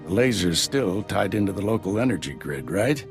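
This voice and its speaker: A battle-worn Jedi master in a game cutscene. The voice is deep and full of gravel, with a dry, steady authority. Music plays clearly behind it. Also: male voice, moderate pace. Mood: commanding. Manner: dry, wry, commanding. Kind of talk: video game cutscene acting.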